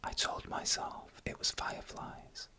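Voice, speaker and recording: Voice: unhurried, pushed and bright. Whispered ASMR storyteller, entirely unvoiced. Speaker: narrator.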